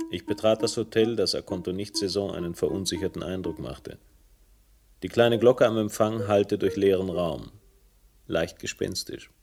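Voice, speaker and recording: Male narrator, lowish voice, dry, ironic, scripted crime radio play, faint music. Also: a little mellow. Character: wry first-person crime story hero and narrator.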